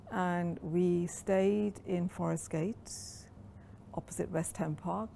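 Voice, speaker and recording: Low, gravelly-voiced woman in her sixties. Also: dark tone, a little hiss or hum. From Kenya. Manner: reflective, unhurried storytelling with frequent ums. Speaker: interviewee. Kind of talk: oral history interview.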